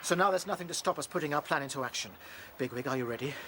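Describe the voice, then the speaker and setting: A man's voice: a little high, very fast, bright, forceful tone, slight background noise. A man playing a cautious, responsible rabbit leader in a radio drama, well-spoken and deliberating, with a British accent. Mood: resolute.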